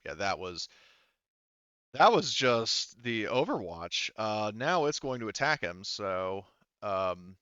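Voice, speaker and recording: A man's voice: on the low side, very animated, slow pace, bright, forceful tone, clear, resonant tone, dry recording, highs cut off. A man running a tabletop roleplaying game as game master, talking casually and hesitantly as he thinks through rules and rolls aloud. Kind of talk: casual unscripted game narration and rules talk.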